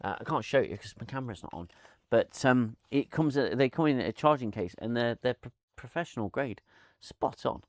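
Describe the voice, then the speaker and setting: A man's voice: medium pitch, lively intonation, fairly quick, no room sound, clean studio sound. A man hosting a casual live-stream chat, rambling and laughing with many restarts, on a lavalier mic at home. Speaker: channel host.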